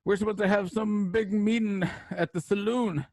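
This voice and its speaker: A man's voice: high voice, fairly even intonation, normal speed, a little mellow, dry recording. An American man playing a desperate, pleading Western outlaw in a radio drama, stammering and whimpering. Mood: panicked.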